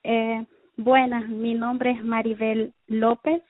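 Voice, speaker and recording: A woman tells her story of faith over a tinny, dry phone line. She speaks hesitantly, full of fillers and restarts, in a fairly high voice. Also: little pitch movement, slow, no echo. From El Salvador. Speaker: caller giving a testimony. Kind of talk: personal testimony by phone. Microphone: telephone.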